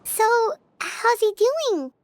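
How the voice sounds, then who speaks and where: A woman voicing a tiny, childlike, chirpy fairy companion in a video game, with a squeaky voice. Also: big pitch swings, extremely slow, full character voice. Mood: earnest, curious.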